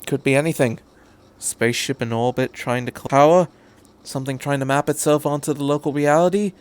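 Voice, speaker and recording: A man voicing a brisk, quirky time-travelling alien in a story reading, breezy and matter-of-fact in conversation. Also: a little high, smooth, close to an everyday voice.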